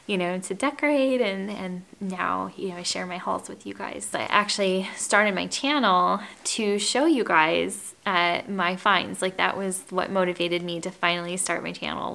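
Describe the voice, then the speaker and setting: A woman in her thirties with a breathy, calming voice, chatting casually to camera from her living room. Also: mid-range voice. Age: 30s. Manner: gentle, calm, chatty with light laughs. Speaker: home and lifestyle YouTuber. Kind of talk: casual get-to-know-me chat to camera. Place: home living room with wood floors. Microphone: camera at chest-up framing.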